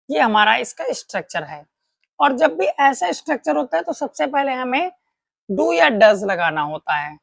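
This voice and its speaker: A woman teaches grammar patiently, step by step, asking questions and answering them herself. Her voice sits somewhat high, with a gravelly edge. The sound is dry and close. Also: no room sound. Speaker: English teacher. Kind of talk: classroom grammar lesson.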